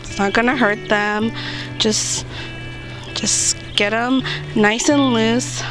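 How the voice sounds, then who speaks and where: Slightly hoarse woman, upbeat gardening vlogger. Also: fairly high pitch, fairly even intonation, slow, rough recording. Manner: upbeat, chatty, walks through each step. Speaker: gardening channel host. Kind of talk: casual how-to chat to camera. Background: background music.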